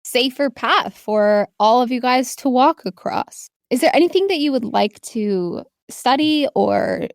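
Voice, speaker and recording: A woman's voice: a little high, lively intonation, unhurried, hardly any pauses, dry recording, studio quality. A woman acting as game master, improvising narration in an informal, encouraging, slangy way.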